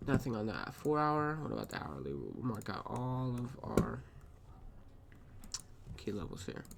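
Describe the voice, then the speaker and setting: Sniffly man, casual profane trade recap, mid-pitched, keyboard clicks behind. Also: huge pitch swings, a little slow, creaky, heavy background noise. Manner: thinks aloud, profane, mutters to himself. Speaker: day trader reviewing his trades.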